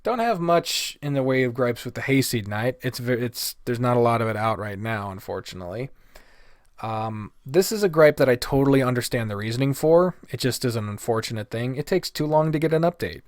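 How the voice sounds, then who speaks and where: A man's voice: medium pitch, expressive, a little fast, no echo, studio quality. A man giving a casual, opinionated review rant in a blunt, exasperated, swear-heavy way. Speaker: video host.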